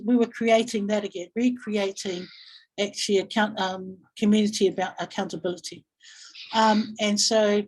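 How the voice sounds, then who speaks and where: A woman giving a reflective talk as a guest speaker, telling stories and thinking aloud with halting, repeated phrases. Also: medium pitch, a little mellow, rough recording. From Samoa or Samoan community in New Zealand. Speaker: guest speaker, family violence practitioner. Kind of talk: reflective webinar talk, storytelling.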